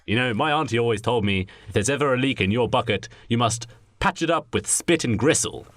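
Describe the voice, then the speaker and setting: A man's voice: medium pitch, lively intonation, a little fast, fairly bright tone, no room sound, clean studio sound, voice pushed down, lightly acted. A man voicing a polite, naive, earnest British schoolboy in a stilted comic radio play style. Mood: earnest, concerned.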